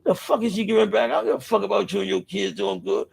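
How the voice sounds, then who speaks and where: Middle-aged man, raspy and gravelly, a bit high-pitched, emphatic, profane street talk. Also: steady tone, fast, a little mellow. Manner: rambling with restarts. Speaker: podcast speaker. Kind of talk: impassioned street-life monologue.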